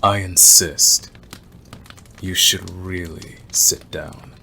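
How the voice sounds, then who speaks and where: Very deep, slow-talking predatory werewolf acted by a man. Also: steady tone, creaky, bright, forceful tone, a little hiss or hum, exaggerated voice, rough recording. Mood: coaxing, controlling. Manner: slow, deliberate, teasing, with drawn-out pauses. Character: predatory, obsessive male werewolf, smooth and menacing stranger. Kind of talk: ASMR roleplay monologue.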